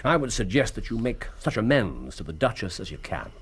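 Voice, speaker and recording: Radio drama lead: stern Victorian sleuth, upper-class English, precise, authoritative, highly modulated. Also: male voice, sing-song, a little fast, a bit bright, a little hiss or hum. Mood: measured, probing. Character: commanding, stern, shrewd Victorian gentleman detective.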